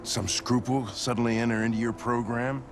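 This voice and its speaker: An actor playing a gruff, weary, hard-drinking male police lieutenant who speaks bluntly and sardonically, in a video game cutscene. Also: medium pitch, flat delivery, moderate pace, natural voice. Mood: brooding. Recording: game score music behind. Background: music.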